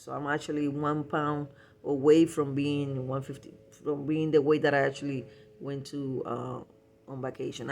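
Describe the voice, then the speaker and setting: Male vlogger, voice a touch high, informal and halting, often doubling back on his words. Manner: halting, repetitive, self-correcting delivery. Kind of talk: casual personal vlog talk.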